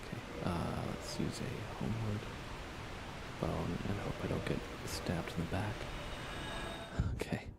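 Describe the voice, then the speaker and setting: A man softly talking to himself while livestreaming a video game in a hushed, casual ASMR style, hesitant and musing. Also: low voice, steady tone, unhurried, gravelly, long pauses, heavy background noise. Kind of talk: ASMR gaming commentary, hushed casual chat.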